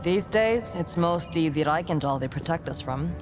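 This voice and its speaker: A woman's voice: low-pitched, lively intonation, moderate pace, music in the background. An actress playing a guarded, determined young woman adventurer in a fantasy video game, terse and matter-of-fact. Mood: resolute. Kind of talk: dramatic video game acting.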